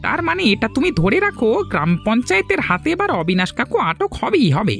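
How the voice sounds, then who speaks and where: A woman's voice: fairly high pitch, sing-song, fast talker, vocal fry. A woman voicing a gossipy, scornful village woman in a cartoon. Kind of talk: Bengali cartoon dialogue. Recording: music behind the voice.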